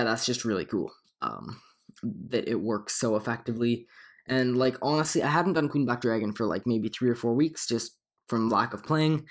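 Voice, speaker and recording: A slightly hoarse young man with a cold, talking casually over game footage as a channel host, rambling with frequent ums and restarts. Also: mid-range voice. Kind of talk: casual gameplay commentary.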